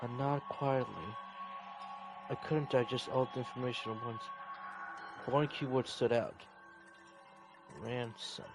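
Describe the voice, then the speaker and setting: A man reading a visual novel story aloud in a deliberate, dramatic narration, as a game player. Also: mid-range voice, fairly even intonation, over music.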